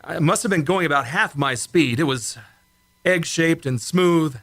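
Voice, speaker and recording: A man acting in an old-time radio drama as a shaken, insistent rocket test pilot, speaking urgently and haltingly. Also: fairly high pitch, a bit bright, dry recording, studio quality. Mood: breathless, urgent.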